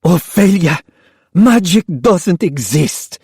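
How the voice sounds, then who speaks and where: A man's voice: high-pitched, lively intonation, slow pace, darkish tone, slightly rough, no echo, clean studio sound, voice pushed up, heavily acted. A man voicing a weary mother who speaks sharply and impatiently. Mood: bitter.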